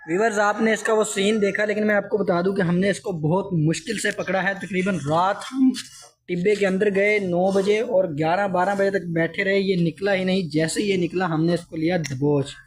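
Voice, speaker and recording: A man's voice: sits high, fairly even intonation, normal speed, slight background noise, poor audio. A man from Pakistan, vlogging casually to his viewers with a sore throat from a cold. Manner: chatty, addresses viewers often. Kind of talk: casual vlog narration to viewers. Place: hot store room in a village house.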